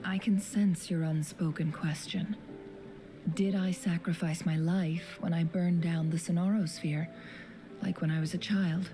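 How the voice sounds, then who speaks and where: A woman playing a serene, wise and wistful young woman in a video game, with soft, breathy, measured poetic delivery. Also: fairly low pitch, steady tone, over music, close to an everyday voice. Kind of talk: video game story dialogue and narration.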